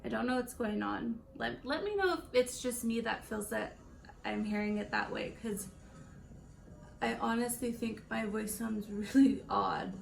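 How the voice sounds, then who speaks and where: A woman in her late twenties with a raspy voice, chatting casually through a makeup tutorial and rambling between stories with laughs. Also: fairly high pitch, measured pace, soft background music. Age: late 20s. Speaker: beauty vlogger.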